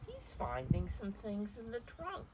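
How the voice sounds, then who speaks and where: A woman reading a picture book aloud to young children, expressively, pausing to explain the pictures in simple words. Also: mid-range voice, wildly swooping pitch, background noise. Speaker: storybook reader for preschoolers.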